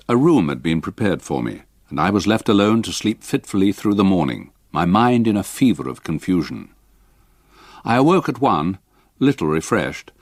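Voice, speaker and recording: An actor playing a grave, reflective older English gentleman, narrating his story in measured literary style in a radio drama. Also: sits low, exaggerated voice. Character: older solicitor recalling his haunted youth, grave, reflective.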